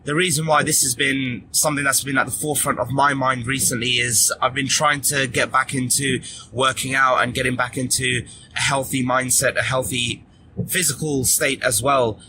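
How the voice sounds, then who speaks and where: A man hosting his own podcast, giving a relaxed and earnest solo update with casual fillers. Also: medium pitch, moderate pace, a little hiss or hum, low-quality recording. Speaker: podcast host. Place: at the gym.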